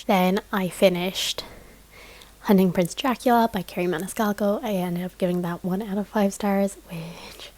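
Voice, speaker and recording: A woman's voice: mid-range voice, rough recording. A young woman vlogging casually about her week of reading, rambling with plenty of fillers and small laughs. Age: young adult. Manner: chatty, rambling, lots of "like" fillers, soft laughs. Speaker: book vlogger. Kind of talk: casual reading vlog chat.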